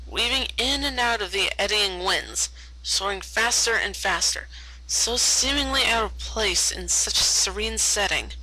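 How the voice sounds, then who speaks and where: A woman's voice: medium pitch, measured pace, sharp tone, no room sound, rough recording. A woman reading fan fiction aloud as a storyteller, often stumbling over words and repeating them. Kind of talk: read-aloud story narration.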